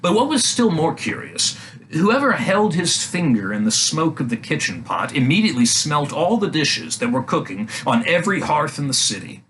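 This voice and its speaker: A man reading a fairy tale aloud for young children as a storyteller, in an animated style. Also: fairly high pitch, normal speed, poor audio. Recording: faint background noise.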